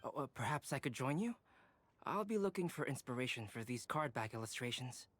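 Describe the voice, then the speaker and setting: Courteous, thoughtful young artist. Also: male voice, medium pitch, expressive, a bit bright. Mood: friendly. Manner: polite, measured, articulate. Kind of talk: game dialogue.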